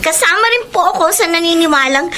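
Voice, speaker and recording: A woman's voice: extremely high pitch, animated, a little fast, bright, forceful tone, a little hiss or hum, heavily acted. A woman playing an eager, cheerful young niece, polite and gushing, in a radio soap drama.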